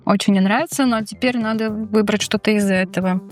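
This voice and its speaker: A woman's voice: medium pitch, steady tone, fairly quick, faint music behind. A woman co-hosting in casual chat, thinking aloud as she makes guesses. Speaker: co-host guessing in a quiz.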